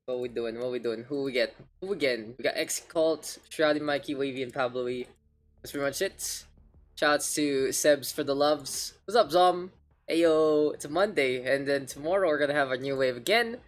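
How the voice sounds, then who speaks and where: A man's voice: medium pitch, moderate pace. A man with a Filipino accent casting an esports match on a live stream, chatty and rambling, often repeating himself. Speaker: esports caster.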